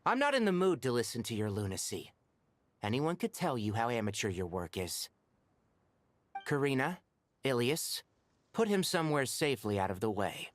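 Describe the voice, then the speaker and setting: Composed, expressive young official. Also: female voice, very deep voice, slight background noise. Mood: stern. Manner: measured, stern yet patient. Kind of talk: video game voice acting. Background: background music.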